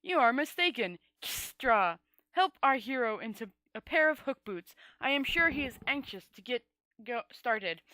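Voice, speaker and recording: Casting: big young warrior lord, read by a woman, fantasy storybook, imperious and expansive, bright forceful delivery, slightly raised pitch. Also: lively intonation, measured pace, dry recording. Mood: commanding. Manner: expansive, commanding. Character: heavily built young dragon lord, booming, imperious, mocking.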